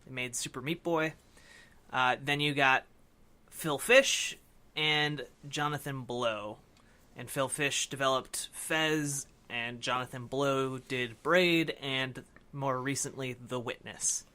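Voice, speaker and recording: A man's voice: medium pitch, takes it slowly, sharp tone. A man hosting a podcast, talking casually about films in a conversational way. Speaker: podcast host. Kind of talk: casual film discussion.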